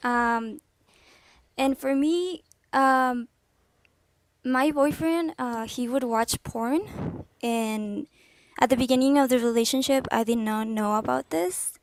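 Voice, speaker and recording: A woman's voice: high voice, takes it slowly, dry recording. Girlish-voiced young woman calling in. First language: not English. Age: 20s. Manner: hesitant, with fillers and light laughs. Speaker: caller. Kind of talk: casual call-in talk.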